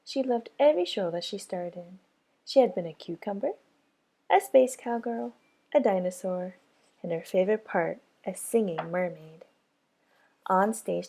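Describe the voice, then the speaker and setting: A woman reads a storybook aloud to children as a preschool teacher would. Her voice sits at an average pitch, with a mellow tone and a good deal of creak.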